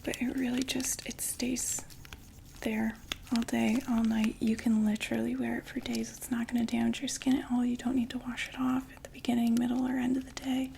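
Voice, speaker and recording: A woman whispering a gentle, soothing ASMR roleplay as a friendly makeup saleswoman, often repeating words softly. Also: fairly high pitch, level tone, fairly quick, vocal fry, slight background noise. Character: friendly female vampire door-to-door makeup saleswoman.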